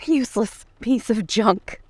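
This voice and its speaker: A woman's voice: fairly high pitch, measured pace, a little hiss or hum, lightly acted. A woman acting a fed-up, frightened woman in a horror audio drama, muttering in exasperation. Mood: panicked frustration.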